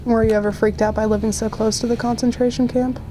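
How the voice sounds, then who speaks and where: A woman interviewer reading prepared questions in a brisk, scripted way. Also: on the high side, little pitch movement, background noise. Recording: music playing behind.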